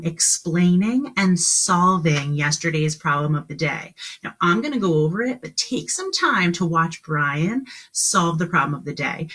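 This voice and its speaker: A woman's voice: lowish voice, a bit bright. A woman teaching kindergarten on a live stream, speaking encouragingly to children in simple questions and instructions.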